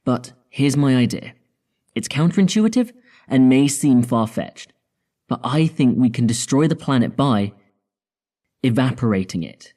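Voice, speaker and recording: A man playing a measured, confident spaceship scientist in an audio drama, explaining in a deliberate way. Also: mid-range voice, lively intonation, warm tone. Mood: calm, resolute. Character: measured, confident male spaceship scientist proposing drastic plans.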